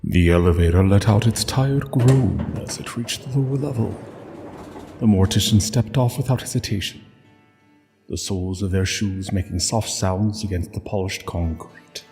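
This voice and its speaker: A man narrating a scripted literary story in a solemn, measured way with dramatic pauses. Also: on the low side, warm tone, big-room sound, soft background music.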